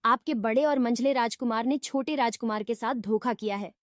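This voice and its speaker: A woman's voice: a little high, normal speed, no room sound, studio quality, natural voice. A woman playing an earnest young princess in a fairy tale, speaking in formal, plain storybook phrases. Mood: earnest, relieved. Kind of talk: fairy tale character dialogue.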